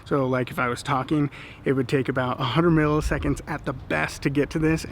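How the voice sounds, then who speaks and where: A man with a fairly high voice talks through a casual how-to demonstration in the manner of a hobby vlogger. There is some noise behind him as he explains things plainly. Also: creaky. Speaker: costume vlogger.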